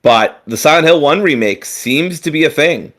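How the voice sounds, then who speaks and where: A man's voice: fairly high pitch, animated, normal speed, fairly bright tone. A man co-hosting a gaming podcast, chatting casually and rambling with frequent fillers, restarts and casual swearing. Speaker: podcast co-host. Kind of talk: casual chat.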